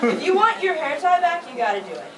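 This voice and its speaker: A high-pitched woman hosts a fan convention panel in an informal, bantering way. She is recorded from a distance in an echoey room. A crowd is audible around her. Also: big pitch swings, fast talker. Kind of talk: playful live panel banter. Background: audience chatter.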